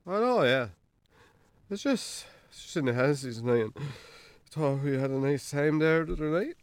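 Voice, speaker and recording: An actor playing a troubled, hard-drinking Irish farmer in a naturalistic radio drama, halting and sweary. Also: male voice, on the high side, animated, clean tone, no echo, close to an everyday voice. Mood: awkward, pleading.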